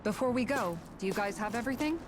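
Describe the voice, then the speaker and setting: A woman voicing an earnest, idealistic young guardswoman in a video game, speaking sincerely and gently. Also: a little high, lively intonation, quick pace, background noise, natural voice. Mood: caring. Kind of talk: scripted video game dialogue. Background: game music.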